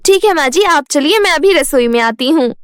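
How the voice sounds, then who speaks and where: A woman's voice: high-pitched, lively intonation, moderate pace, slight background noise. A woman voicing a sly, lazy young daughter-in-law with a sugary, wheedling way of making excuses. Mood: sweetly obliging. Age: young adult.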